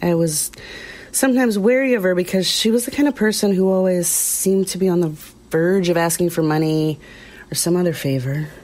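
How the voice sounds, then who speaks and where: An actress playing a weary, uneasy small-town woman, recollecting in a hesitant, plainspoken, confiding way with pauses, as testimony in an audio drama. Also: lowish voice, a little mellow, lightly acted. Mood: uneasy, reflective.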